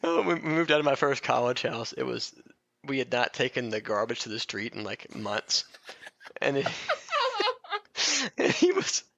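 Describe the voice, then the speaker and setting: A man's voice: mid-range voice, big pitch swings, unhurried, vocal fry, bright, forceful tone, no echo, lo-fi sound. A man hosting a podcast, reading a comic biography aloud with casual, jokey asides and a readiness to laugh. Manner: jokey, often breaking into laughter mid-sentence. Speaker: podcast host.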